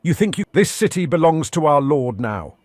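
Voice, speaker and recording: A menacing guard from a fantasy video game, acted by a man, challenges strangers with commanding threats. The voice sits slightly high for a man and is recorded dry and close, with no room around it. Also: big pitch swings, normal speed, no room sound. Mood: stern, threatening. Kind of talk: scripted video game dialogue.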